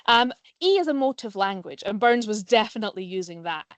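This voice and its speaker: A woman's voice: medium pitch, animated, fairly quick, no echo. A woman giving a live online nature lesson to pupils, explaining in a friendly way with frequent ums. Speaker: nature educator. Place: plant nursery.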